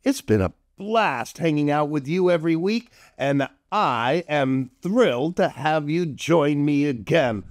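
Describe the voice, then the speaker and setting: A man's voice: a little high, animated, measured pace, hardly any pauses. A middle-aged man presenting a children's show as a playful entertainer, with a theatrical, clownish manner and corny jokes. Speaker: children's entertainer and storytime host.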